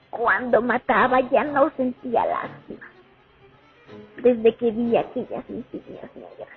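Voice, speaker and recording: A woman playing a haunted young Soviet sniper remembering the war in a radio drama monologue. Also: on the high side. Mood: somber, haunted. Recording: tinny phone-like audio, music underneath.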